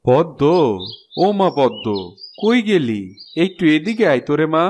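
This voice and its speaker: A man's voice: a little high, big pitch swings, unhurried, darkish tone, soft background music. A man playing a kindly, weary ageing farmer father in a cartoon, with a rural Bangladeshi Bengali accent. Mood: calling. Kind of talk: cartoon fairy-tale acting.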